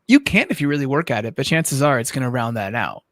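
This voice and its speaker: A man's voice: medium pitch, sing-song, fast talker, no echo, studio quality. A man co-hosting an art livestream, chatting casually and conversationally as a fellow artist.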